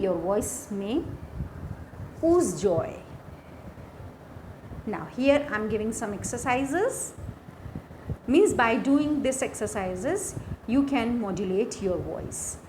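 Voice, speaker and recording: A woman with an Indian accent teaching a lesson from slides in a deliberate way, repeating key phrases. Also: a little high, lively intonation, slight background noise, poor audio. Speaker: teacher, lecturer. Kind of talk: instructional lesson explaining slides.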